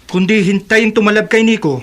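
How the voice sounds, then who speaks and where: An actor playing an anxious, loyal young companion in a horror radio drama. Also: male voice, high-pitched, steady tone, a little fast, natural voice. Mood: worried. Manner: tense, anxious.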